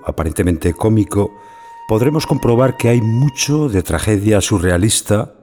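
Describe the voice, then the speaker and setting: Deep-voiced man narrating over music. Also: darkish tone. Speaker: narrator and commentator. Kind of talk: scripted literary introduction.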